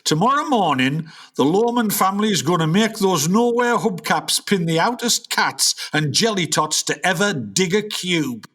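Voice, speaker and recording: High-voiced blustering aging jazz father played by a man. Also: expressive, moderate pace, hardly any pauses, a bit bright. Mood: boastful, eager. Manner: boastful, old-time hipster slang. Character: blustering aging jazzman father, hopeful then pleading. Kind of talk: comedic radio play acting.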